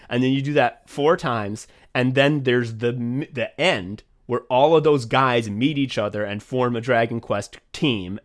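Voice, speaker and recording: A young man co-hosting a podcast in casual chat, rambling and swearing freely, getting excited and laughing as he talks. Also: mid-range voice. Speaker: podcast co-host and writer.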